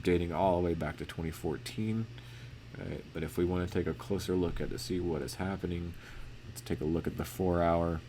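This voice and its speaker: A man teaching forex chart analysis in a casual, monotone way with lots of fillers and restarts. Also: low voice, a little hiss or hum. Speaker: forex trading educator.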